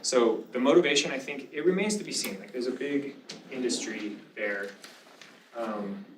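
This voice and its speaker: A man's voice: medium pitch, moderate pace. A man speaking informally as a lecturer answering questions after a talk, in a casual, conversational way. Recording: roomy lecture sound, distant mic, faint noise.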